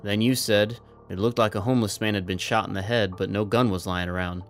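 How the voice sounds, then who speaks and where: A man playing a resigned, trapped soldier in an audio drama, speaking in a measured, earnest, persuasive way. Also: fairly low pitch, steady tone, soft background music, close to an everyday voice. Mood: calm explaining.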